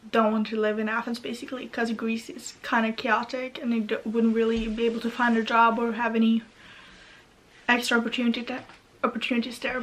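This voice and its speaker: A woman's voice: a little high, fairly bright tone. A young woman from Norway with a Norwegian accent, rambling in a casual chat from her bedroom, full of fillers and asides. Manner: chatty, rambling, many fillers. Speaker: vlogger.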